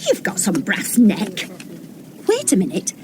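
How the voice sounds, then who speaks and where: Radio sitcom caterer: Northern English, no-nonsense, down-to-earth, lively mid-range voice. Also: female voice, medium pitch, unhurried, background noise. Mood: blunt, affronted. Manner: forthright, down-to-earth, matter-of-fact. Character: blunt, proud Northern woman, chief caterer.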